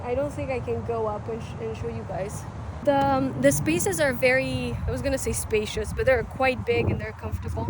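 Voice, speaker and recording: A young woman in her twenties chatting casually as a vlogger while out in a city, rambling with lots of fillers. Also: high voice. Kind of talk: casual travel vlog. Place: outdoors in a city, partly riding a bike. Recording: outdoor street noise and traffic. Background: city ambience and passing vehicles.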